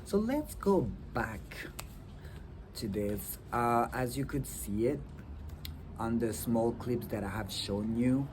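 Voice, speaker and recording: French-speaking man talking slowly outdoors. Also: medium pitch, expressive. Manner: halting, many ums and restarts. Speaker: vlogger. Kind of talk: casual talk to camera. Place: outdoors in wind. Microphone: phone on a tripod. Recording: wind noise on a phone mic. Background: wind and outdoor ambience.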